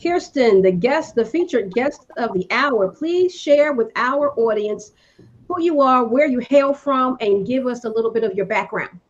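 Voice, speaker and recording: A woman's voice: fairly high pitch, lively intonation, a little slow, lo-fi sound. Laughing, faith-minded woman host. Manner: laughs easily, speaks of love and faith. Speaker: show host and company owner. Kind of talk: warm faith-based talk show hosting.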